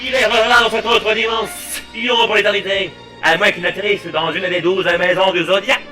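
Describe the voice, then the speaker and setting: A man's voice: high voice, fairly even intonation, moderate pace, sharp tone. A man playing a gleeful, vain villain knight in a comic anime parody dub, pompous and mock-dramatic. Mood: smug. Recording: faint music behind the voice.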